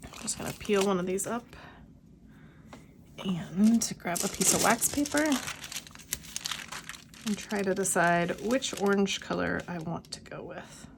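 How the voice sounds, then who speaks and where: A middle-aged woman with a cold and a slightly hoarse voice, musing aloud in a casual plan-with-me vlog at home. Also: medium pitch, background noise. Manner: unhurried, musing aloud while crafting. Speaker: planner vlogger. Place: quiet home.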